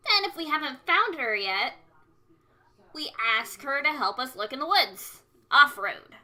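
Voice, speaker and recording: A woman's voice: high voice, sing-song, unhurried, bright, forceful tone, voice pushed up, heavily acted. A woman voicing a brash tomboyish female pony in a fan dub read, with a scratchy voice. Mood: plainspoken. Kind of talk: reading a fan dub line aloud.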